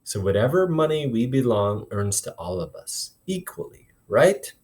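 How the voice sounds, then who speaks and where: A man's voice: mid-range voice, big pitch swings, moderate pace, darkish tone, pitched above the performer's own voice, close to an everyday voice. A man reading a storybook and voicing a firm, fair-minded boy who leads a group of street children. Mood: earnest. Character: street boy leader, bossy but fair and generous.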